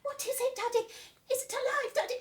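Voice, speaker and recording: A woman's voice: steady tone, fast talker. A woman voicing a frightened little girl who pleads tearfully with her father in a radio play. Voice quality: shrill, tearful. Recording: roomy, distant echoey recording.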